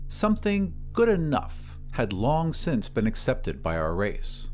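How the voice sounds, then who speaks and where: A male narrator reads a story in a dramatic, scripted style. His middle-pitched voice moves in lively swings, with music underneath. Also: unhurried.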